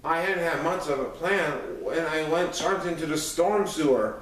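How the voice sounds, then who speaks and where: A man's voice: a little high, moderate pace, a bit bright. A man reading a first-person story aloud as a scripted narration. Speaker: audiobook narrator. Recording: echoey room, far from microphone.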